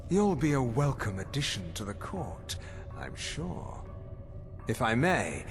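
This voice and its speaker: An actor playing a scheming vampire courtier in a video game, confiding warnings in a measured, insinuating manner. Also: male voice, lowish voice. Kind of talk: video game dramatic voice acting. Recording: long echoing hall, music behind.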